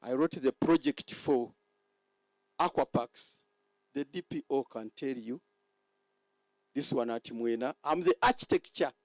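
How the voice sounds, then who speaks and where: A hoarse man giving a boastful ceremony speech as general manager, halting and repeating words. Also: medium pitch, dry recording, rough recording.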